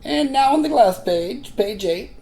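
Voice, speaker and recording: Woman voicing a bouncy, animated puppet reading teacher. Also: a little high, poor audio. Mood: cheerful, encouraging. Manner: exaggerated, encouraging, sing-song delivery with pauses for repeating. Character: Sebastian, a cheerful puppet reading to kids. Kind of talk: read-along lesson for young children.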